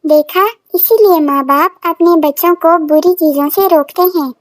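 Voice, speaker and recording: A woman's voice: extremely high pitch, normal speed, no echo. A woman voicing an anxious, loving village mother in a children's cartoon story, fretful and tender. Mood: soothing, scolding. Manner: fretful, tender, repetitive calling.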